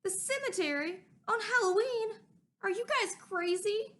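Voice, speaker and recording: A woman's voice: very high voice, a little slow, sharp tone, slight background noise, voice pushed up, full character voice. A woman reading a storybook aloud, voicing a young girl who talks in a dramatic, exclamatory way. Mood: excited.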